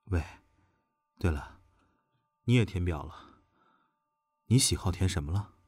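Man acting a slow, husky, playful young officer. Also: sits low, expressive, a little mellow, full character voice. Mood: coaxing, playful. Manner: coaxing, teasing. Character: teasing young male officer, playful and clingy with his comrade. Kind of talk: modern audio drama acting.

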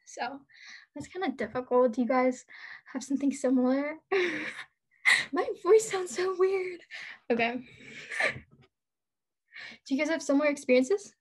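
Teen girl, very high voice, chatty, giggly co-host. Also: a little slow, pauses often. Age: teens. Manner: chatty, laughing, filler-heavy. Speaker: podcast co-host. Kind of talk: casual chat.

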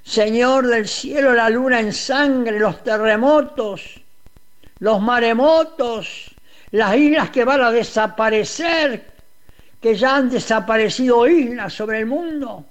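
A man's voice: very high-pitched, slow. A man leading a fervent radio prayer, pleading and repeating the address to God. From Uruguay. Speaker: radio preacher.

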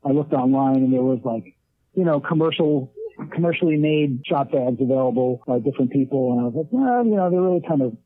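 A man's voice: medium pitch, animated, fairly quick. A man in his late thirties talking casually in an interview, rambling with many restarts and fillers. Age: 30s. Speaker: leatherwork artist guest. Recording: tinny phone line.

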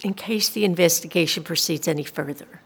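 A woman acting in a radio drama as a prim, pious, coolly menacing female investigator with a formal, measured, officious manner. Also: lowish voice, creaky, husky, heavily acted. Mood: probing, formal. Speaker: actor in radio play. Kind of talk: naturalistic audio drama acting.

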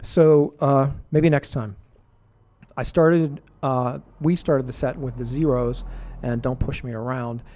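A middle-aged man with a warm, mellow voice talks on the radio between songs. He rambles loosely with lots of fillers and false starts, at an easy, ordinary pitch. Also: slow pace, slight background noise. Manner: relaxed, rambling, full of fillers and restarts. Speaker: radio show host.

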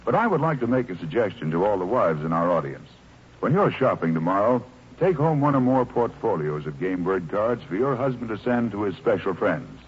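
Low-voiced radio pitchman, animated. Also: a little fast, husky. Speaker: radio host delivering sponsor message. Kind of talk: 1940s scripted radio advertising. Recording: muffled, faintly noisy old radio recording.

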